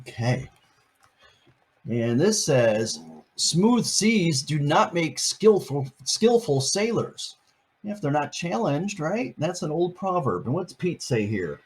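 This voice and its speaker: A man's voice: a little high, very animated, slow, slightly rough. An older man, a grandfather, reading a picture book aloud to his grandchildren and chatting about each page. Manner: chatty, explains each page in plain words.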